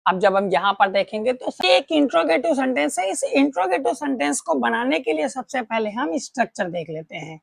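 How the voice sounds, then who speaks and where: A woman's voice: high-pitched, sing-song, a little fast, gravelly, few pauses, no echo, studio quality. A woman teaching a patient, step-by-step grammar lesson, asking questions and answering them herself. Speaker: English teacher.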